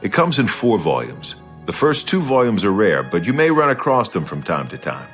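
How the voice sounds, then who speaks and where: A polite salesman-like bookseller from a fantasy rpg, low voice, soft music behind. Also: huge pitch swings, moderate pace. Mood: knowing. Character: courteous, salesmanlike bookshop owner in a fantasy city. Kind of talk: video game dialogue acting.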